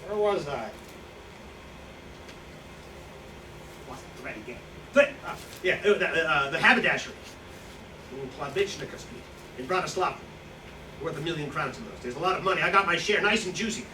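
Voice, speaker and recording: A man acts out a boastful, boozy self-styled outlaw in a stage play reading. His voice sits high for a man, and he rambles on in smug self-justification. It is picked up from far off in an echoey room with clear background noise. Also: expressive, brisk, bright, forceful tone. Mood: smug, rambling. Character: boastful, hard-drinking male Slovak profiteer, self-styled outlaw. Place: indoor staged reading, distant microphone.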